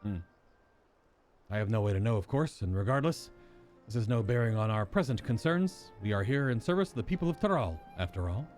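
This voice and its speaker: A man's voice: lowish voice, animated, fairly quick, darkish tone, faint music behind, lightly acted. A man voicing an earnest, courteous nobleman from a fantasy game, formal and reflective in his wording. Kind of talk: reading fantasy game dialogue aloud.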